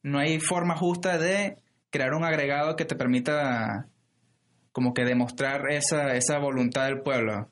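A man's voice: mid-range voice, a little slow. A man co-hosting a podcast, explaining ideas in casual political talk with many hesitations and restarts. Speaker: podcast co-host.

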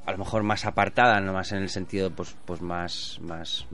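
A man with a fairly low voice and a Spanish accent. He chats casually as an interview guest, telling personal stories in earthy, colloquial language. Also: steady tone, normal speed, a bit bright, soft background music. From Badalona, Spain. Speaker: bullfighter being interviewed. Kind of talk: casual conversational storytelling.

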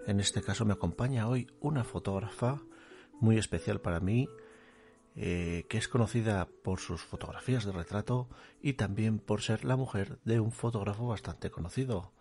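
A man's voice: lowish voice, normal speed, a little mellow, faint music behind. A man hosting a podcast, welcoming his guest and prompting her with short, friendly questions. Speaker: podcast host. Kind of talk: podcast interview.

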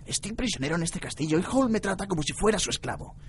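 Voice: mid-range voice, big pitch swings, fast talker, a little hiss or hum, natural voice. A performer voicing a wry, wheedling fire demon held prisoner, in a radio play. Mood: wheedling, plaintive. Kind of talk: amateur radio play acting.

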